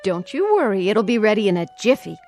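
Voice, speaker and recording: An actress playing an anxious, doting aunt in a radio drama, sweetly coaxing and nervously repeating herself. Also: female voice, mid-range voice, big pitch swings, brisk, a little mellow, faint music behind. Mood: coaxing, placating. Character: anxious, doting aunt placating a feared nephew.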